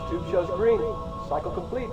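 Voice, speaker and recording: An actor playing an eager, respectful junior technician in a video game, giving short procedural status reports. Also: male voice, high voice, normal speed, close to an everyday voice. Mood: efficient. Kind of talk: video game cutscene acting. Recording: dull speaker sound with loud music.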